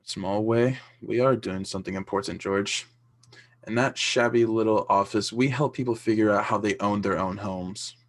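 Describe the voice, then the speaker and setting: A man's voice: on the low side, steady tone, normal speed, lightly acted. A man playing a tired, kindly, principled middle-aged father in a radio play. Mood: weary, gentle. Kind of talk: 1940s-style radio play acting.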